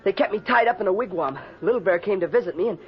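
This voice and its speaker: A man's voice: high voice, animated, quick pace. An actor playing an eager, earnest adolescent boy of the Old West whose phrases tumble out, in a radio western. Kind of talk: 1950s radio western acting. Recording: muffled old radio recording.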